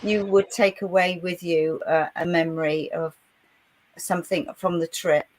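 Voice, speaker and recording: A woman hosts a radio interview, asking gentle questions with many fillers. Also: on the low side, steady tone, measured pace, slight background noise, poor audio. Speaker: radio host.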